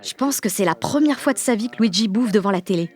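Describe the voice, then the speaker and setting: A woman's voice: mid-range voice, expressive, quick pace, a little mellow, lightly acted. An actress playing a blunt, fiery sixteen-year-old French girl who narrates an audio drama in sardonic slang. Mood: wry. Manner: colloquial, heavily slangy, sardonic.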